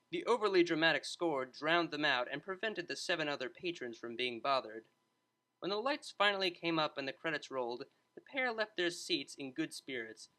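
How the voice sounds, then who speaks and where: Bright, animated male story narrator. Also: fairly high pitch. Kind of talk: scripted story narration, expressive.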